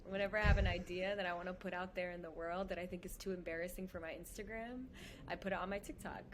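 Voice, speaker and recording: A woman guest on a podcast video call, talking in a lively, casual way with lots of fillers. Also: fairly low pitch, a little hiss or hum. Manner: animated, fast, lots of "like". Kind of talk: casual conversation. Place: indoor room at home. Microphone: headphones on a video call.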